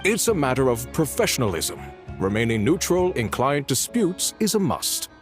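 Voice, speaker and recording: Man playing a wildly expressive, slippery clerk. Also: medium pitch, moderate pace, soft background music, close to an everyday voice. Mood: evasive, polite. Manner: smooth-talking, excitable, placating. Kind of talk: video game voice acting.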